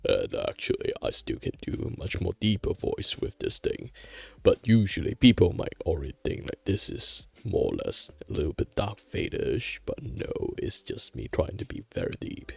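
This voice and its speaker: A man in his thirties showing off put-on voices on a drawing livestream, one strained and squeaky, one deep and breathy. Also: huge pitch swings, normal speed, a little mellow, dry recording, soft background music, heavily acted. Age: 30s.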